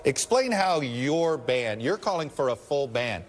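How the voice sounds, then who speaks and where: A man's voice: medium pitch, sing-song, a little slow. A man hosting a news interview show, asking probing conversational questions. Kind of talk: political TV interview.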